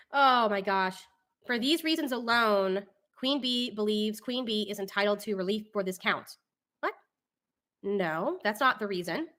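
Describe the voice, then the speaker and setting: Bright-voiced woman, exasperated online host. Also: medium pitch, measured pace, long pauses. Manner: exasperated, opinionated. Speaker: host of a live online talk show. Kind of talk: casual legal commentary, reading documents aloud.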